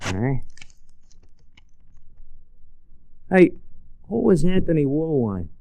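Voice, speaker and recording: A man's voice: a little high, big pitch swings, normal speed, creaky, mellow, no echo. A man playing a breezy, streetwise American sidekick in 1940s radio drama, with slangy, folksy talk. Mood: coaxing. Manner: slangy, folksy, plain-spoken. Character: breezy, streetwise, loyal male sidekick of an adventurer.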